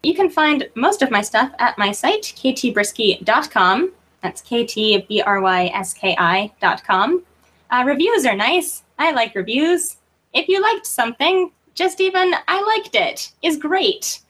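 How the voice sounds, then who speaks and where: A woman with a fairly high, husky voice, chatting as an interview guest. She is informal and self-deprecating, and her pitch swings around in a very lively way. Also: unhurried. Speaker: guest author being interviewed. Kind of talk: casual interview chat.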